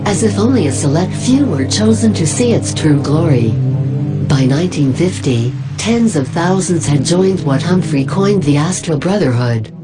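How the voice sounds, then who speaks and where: A woman's voice: sits low, rough recording. A woman playing a devoted cult narrator, reading a formal, solemn documentary script for an initiation tape. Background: loud music.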